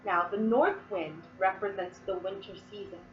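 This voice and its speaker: A woman's voice: mid-range voice, normal speed, echoey room, recorded from a distance, muffled recording, a little hiss or hum. A woman from Massachusetts explaining Wiccan verses in casual, rambling talk full of fillers, sniffling and coughing from a cold. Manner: chatty, rambling with many fillers. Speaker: Wiccan teacher.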